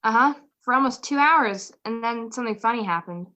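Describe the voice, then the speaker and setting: A woman's voice: a little high, lively intonation, brisk, pushed and bright. A woman playing a poised, teasing, affectionate detective's wife in a radio play. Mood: arch. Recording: muffled video-call recording.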